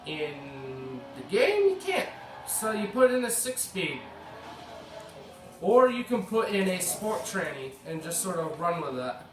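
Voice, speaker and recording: A man's voice: high-pitched, big pitch swings, unhurried. An American man, a bit sick, giving rambling casual commentary over gameplay and correcting himself as he goes. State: slightly sick. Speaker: gaming video creator. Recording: echoey room, mic at a distance, faint music.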